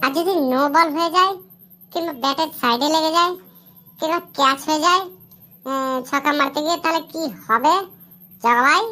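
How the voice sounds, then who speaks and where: A woman's voice: high voice, fairly quick, long pauses, bright, forceful tone, pitched above the performer's own voice. A woman voicing a small, needling follower who questions the boss in a comic cartoon. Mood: doubtful, needling.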